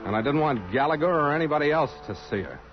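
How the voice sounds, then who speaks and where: An actor playing a terse, commanding, brooding ship's captain who also narrates in an old radio drama. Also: male voice, on the high side, expressive, quick pace, close to an everyday voice. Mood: brooding narration. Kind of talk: old-time radio drama acting and first-person narration. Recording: muffled old radio recording, loud music.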